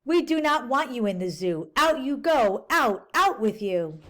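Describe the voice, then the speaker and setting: A woman's voice: a little high, big pitch swings, measured pace, a bit bright. A woman doing stern storybook zoo folk. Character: stern zoo people turning away a creature.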